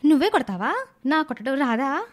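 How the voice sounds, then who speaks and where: A woman voicing a silly, stubborn young niece, girlish and quarrelsome, in an animated moral story. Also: sits high, moderate pace. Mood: petulant, angry.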